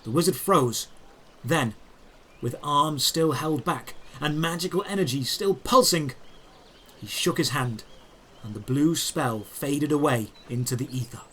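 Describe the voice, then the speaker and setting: A man narrating a scripted first-person fantasy adventure in a dramatic, deliberate, descriptive way. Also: a little high, lively intonation, a bit bright, slightly rough.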